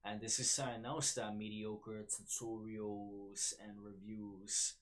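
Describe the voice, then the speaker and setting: A man's voice: on the low side, flat delivery, slow pace, a bit bright. A man with a New York accent hosting a solo podcast, rambling with many fillers and restarts. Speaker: podcast host.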